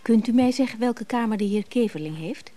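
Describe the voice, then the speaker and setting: A woman's voice: fairly high pitch, expressive, fairly quick, dark tone, lightly acted. An actress playing a curt, imperious wealthy woman in a radio play, giving clipped orders. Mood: curt, commanding.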